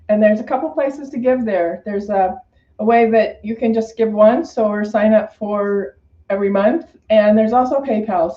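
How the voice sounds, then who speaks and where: A woman with a mid-pitched voice speaks as a minister co-leading a service, in a casual, informal style. The room echoes around her and the recording is a little dull. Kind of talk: casual church announcements and repeated affirmations.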